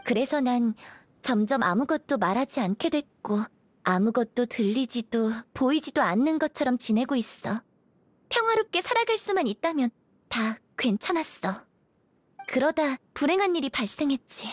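A guarded young woman from a game's desert village. Her voice is high with a husky edge, melancholy and subdued. It is recorded close and dry over faint music. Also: animated, moderate pace, no echo. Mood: melancholy, confiding. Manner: earnest, subdued, confiding. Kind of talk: video game character dialogue.

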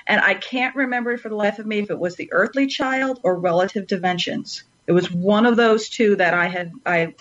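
A woman with a mid-pitched voice chats on a panel podcast. She is animated and rambles, breaking into her own sentences, and the audio is somewhat dull. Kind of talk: casual chat.

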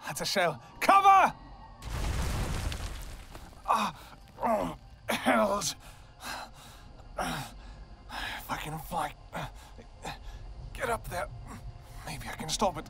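A man's voice: sits high, very animated, drawn-out words, vocal fry, pauses often, sharp tone, slight background noise. A man playing a devoted royal vampire king in an audio roleplay, tender with his wife and commanding with his soldiers. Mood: urgent.

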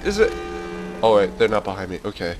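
A man's voice: lowish voice, very animated, a little fast, music in the background. Jumpy young man playing a horror game. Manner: jumpy, swearing, nervous laughs, yelps when startled. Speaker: gaming channel host. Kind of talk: let's play commentary.

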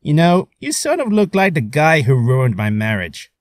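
A man's voice: a little high, wildly swooping pitch, normal speed, dry recording, clean studio sound. A man voicing a cocky young superhero with breezy, joking taunts for a voice reel. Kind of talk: character voice reel.

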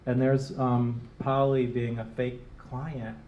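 A man's voice: mid-range voice, little pitch movement, slow pace, muffled recording. A middle-aged man from Detroit, answering interview questions onstage in a casual, rambling way with many fillers and restarts. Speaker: interviewed designer. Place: small gallery room, live audience. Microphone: handheld microphone. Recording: roomy, distant, faint hiss.

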